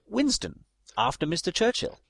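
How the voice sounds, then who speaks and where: A man's voice: high voice, huge pitch swings, moderate pace, vocal fry, a bit bright, husky, no echo, full character voice. A man playing a pompous, sentimental British statesman in a comedic audio drama, speaking formally and effusively in a British accent. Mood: earnest, confiding. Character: pompous, sentimental British male statesman, childlike teddy lover.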